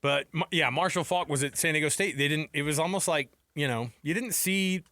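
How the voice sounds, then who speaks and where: A man's voice: on the high side, brisk, bright, forceful tone, no echo. American man, casual sports radio co-host, dry studio. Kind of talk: casual quiz banter about college football. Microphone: close studio broadcast microphone.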